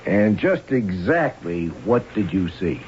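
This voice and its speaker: An actor playing a methodical police arson captain in a 1970s radio drama, putting questions in a clipped, matter-of-fact way. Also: male voice, low voice, sing-song, slow, darkish tone, full character voice. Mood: probing. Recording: dull, hissy vintage radio broadcast.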